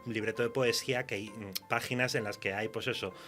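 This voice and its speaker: Castilian man, halting casual radio chat. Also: fairly low pitch, fairly even intonation, a bit bright, faint music behind. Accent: Castilian Spanish. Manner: colloquial, halting, searching for words. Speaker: horror fiction writer. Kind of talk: casual conversation.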